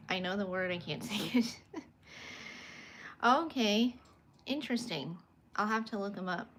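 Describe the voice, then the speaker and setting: A young woman from California, an artist casually chatting on a livestream from her bedroom as she shows her paintings and answers viewer comments. She is soft-spoken and a little awkward. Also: medium pitch, unhurried, slight background noise.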